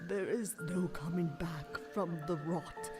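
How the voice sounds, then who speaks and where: A zebra being eaten away by rot in an audio drama. The voice rasps and wheezes, dragging slowly through labored pleas. It sounds set back from the mic, with prominent music behind. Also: female voice, on the low side, recorded from a distance. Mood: weary, sorrowful. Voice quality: raspy, wheezing whisper. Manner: halting, slow, labored. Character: dying zebra possessed by rot, pleading and rasping.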